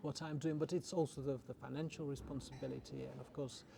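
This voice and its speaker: A man who grew up in the Czech Republic, an orchestra's general manager, answering interview questions in a halting way with many repeated words. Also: mid-range voice, fairly even intonation, gravelly. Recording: noisy background.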